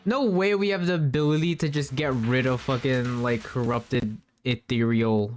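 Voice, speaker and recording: A man with a medium-pitched voice gives a live reaction to a game. He is blunt, slangy and quick to swear, and speaks casually into a close mic over loud game music.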